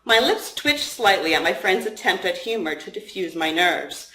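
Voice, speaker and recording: A woman's voice: on the low side, expressive, normal speed, sharp tone. A woman reading her own story aloud as a first-person narrator. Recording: roomy, echoing room sound.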